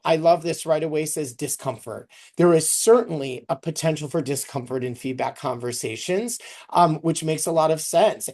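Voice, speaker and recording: A workshop leader presenting to a webinar in an earnest, reflective way, with a creaky voice. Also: medium pitch, a little mellow. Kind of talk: webinar presenting, thinking aloud.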